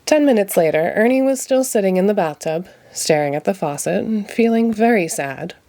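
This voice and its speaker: A woman's voice: mid-range voice, animated, normal speed, warm tone, a little hiss or hum. A woman narrating a children's picture book as a read-aloud. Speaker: storybook reader.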